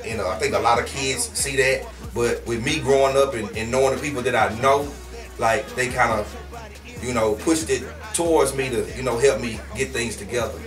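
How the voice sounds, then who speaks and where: A man's voice: medium pitch, moderate pace, echoey room, distant mic, music in the background. A man from Houston, a rapper being interviewed, talking loosely and casually with lots of fillers. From Houston, Texas. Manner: laid-back, slangy, with many fillers. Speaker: guest rapper.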